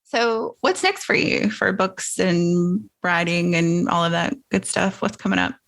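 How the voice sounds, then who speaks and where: A woman's voice: on the low side, unhurried, vocal fry, a bit bright. A woman hosting a podcast, chatting casually with lots of "like" and "you know" fillers. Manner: chatty, many "like" and "you know", laughing asides. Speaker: podcast host. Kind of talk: casual chat.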